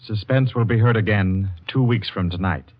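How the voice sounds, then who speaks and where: A man announcing for a network radio show in a formal, crisp and measured 1940s style. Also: fairly low pitch. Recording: dull 1940s radio broadcast.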